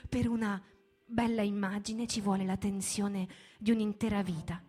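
A woman giving a theatrical literary reading, solemn and deliberate, in short measured fragments. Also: medium pitch, flat delivery, warm tone. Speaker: stage performer and narrator. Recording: music bed under the voice. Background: guitar music.

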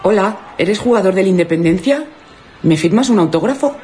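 A woman playing a scatterbrained, excitable young woman from Spain with a Spanish accent, slangy and full of repeated exclamations. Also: mid-range voice, moderate pace, a little hiss or hum, natural voice. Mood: confused, excited. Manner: breathless exclamations, slangy repetition.

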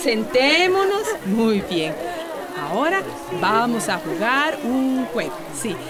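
A patient, motherly carer in a radio soap. Her voice is a bit high and slow, with music and a crowd in the background. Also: very animated. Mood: coaxing. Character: patient, motherly woman minding children. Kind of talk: radio soap opera acting. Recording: music and crowd of children behind.

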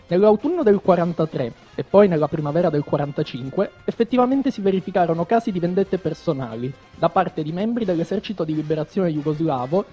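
Man, radio presenter, very soft dark timbre, scripted history narration, measured expository reading, light music bed. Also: fairly high pitch.

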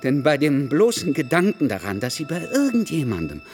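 An actor playing a bitter, tormented Cuban writer who confides in a friend in a radio drama, emphatic and prone to swearing. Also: male voice, fairly high pitch, huge pitch swings, fairly quick, a little mellow, slight background noise. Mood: bitter, conflicted.

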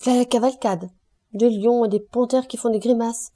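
A woman reading aloud and voicing a delirious, shouting male roofer with a hoarse voice who curses and raves. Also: medium pitch, unhurried, close to an everyday voice. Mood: frantic. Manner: raving, shouting, cursing.

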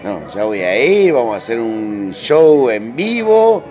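A man's voice: medium pitch, very animated, measured pace. An Argentine man giving an informal interview as a musician, speaking in slangy, colloquial phrases and repeating himself for emphasis. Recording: dull radio interview over music.

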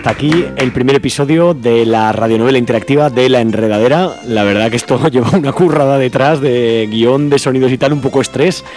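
A man hosts a radio show in a casual, colloquial style. His voice sits at a middle pitch, with faint music behind it. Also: a little fast, darkish tone. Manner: informal, colloquial. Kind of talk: casual announcement to listeners.

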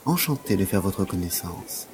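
Man acting an earnest, theatrical gentleman, lowish voice. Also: very animated, moderate pace, faint music behind. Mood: polite, reserved. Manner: formal, theatrical, literary. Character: earnest, self-dramatizing gentleman lover craving his punishment. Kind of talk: French audio drama acting.

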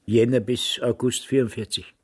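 An actor playing a self-satisfied old retired Austrian police major with a Nazi past, smug and rambling, with an Austrian accent in a radio drama. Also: male voice, medium pitch, fairly even intonation, normal speed, darkish tone, slightly rough, lightly acted. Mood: guarded. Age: older, past retirement. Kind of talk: radio drama acting, reminiscing.

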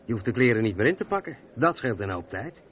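An actor the playing a seasoned, patient truck driver who explains things matter-of-factly in a radio play. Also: male voice, medium pitch, very animated, fast talker, close to an everyday voice. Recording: tinny, noisy old radio recording.

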